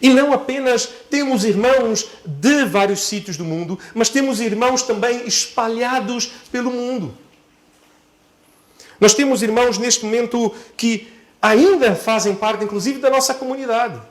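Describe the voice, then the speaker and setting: Male preacher, high-pitched voice, deliberate and emphatic sermon delivery with repeated phrases. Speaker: pastor preaching. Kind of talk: sermon to a church congregation.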